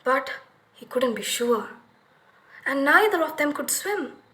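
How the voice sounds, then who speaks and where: A woman narrating a children's story expressively, with dramatic, deliberate pauses. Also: high-pitched, lively intonation, sharp tone.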